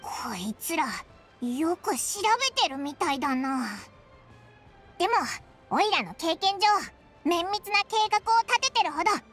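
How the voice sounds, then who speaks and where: A woman voicing a small childlike fairy companion in an anime game, squeaky, cheeky and blunt, with brash boyish phrasing. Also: animated, normal speed, fairly bright tone. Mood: suspicious. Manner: brash, boyish informal speech, exclaiming. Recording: studio voice over game music. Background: background music.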